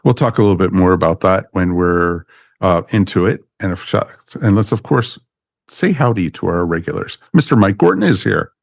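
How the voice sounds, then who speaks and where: A man with a low voice hosts a casual podcast. He rambles enthusiastically like a devoted fan, and the sound is dry and close. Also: animated, normal speed, creaky, dry recording. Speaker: podcast host.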